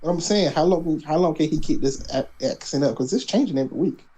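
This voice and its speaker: A man's voice: a little high, quick pace, warm tone, breathy edge, poor audio. A man co-hosting a casual podcast, talking wrestling in a laid-back, skeptical, teasing way. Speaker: podcast co-host. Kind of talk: casual wrestling predictions chat.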